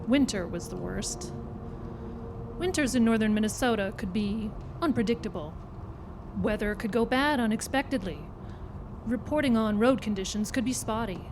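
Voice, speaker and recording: A woman's voice: a little high, normal speed. A woman reading a scripted story aloud as dramatic narration at a live performance. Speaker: story reader. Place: performance club before live audience. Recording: noisy live club recording.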